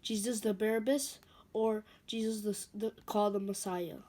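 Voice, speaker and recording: A woman's voice: medium pitch, steady tone, normal speed, warm tone, close to an everyday voice. A woman reading the part of a Roman governor who questions a prisoner and crowd, in an amateur church dramatic reading. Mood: questioning.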